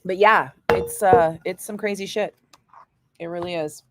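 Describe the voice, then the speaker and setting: A middle-aged woman radio DJ chatting casually with viewers between breaks, profane and quick to laugh. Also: on the low side, steady tone, very noisy. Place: radio studio.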